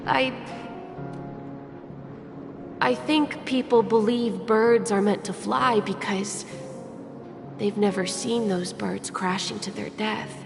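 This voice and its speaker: A woman's voice: medium pitch, steady tone, slow, gravelly, pauses often. A woman voicing a thoughtful, hesitant young boy in a game, earnest and solemn. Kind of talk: scripted game voice acting. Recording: long hall reverb over music.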